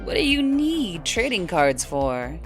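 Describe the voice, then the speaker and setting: Seorang wanita memerankan alien betina berbulu dari klan prajurit yang tangguh, blak-blakan, dan santai dalam buku audio. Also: female voice, on the low side, sing-song, slow, faint music behind. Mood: casual bravado. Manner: brash, casual, blunt. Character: brash, tough warrior-clan furry alien female. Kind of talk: audiobook character acting.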